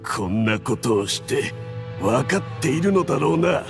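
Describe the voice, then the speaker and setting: An actor playing an arrogant diplomat in a Japanese video game, speaking in a haughty, halting, gasping way. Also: male voice, medium pitch, animated, creaky. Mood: shocked, pained. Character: arrogant male diplomat schemer, poisoned and weakening. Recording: music behind the voice.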